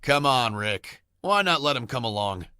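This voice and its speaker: A man's voice: mid-range voice, sing-song, moderate pace, pushed and bright, clean tone, no echo, studio quality. A man voicing a hot-headed, defensive survivor in a comic dub. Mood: tense.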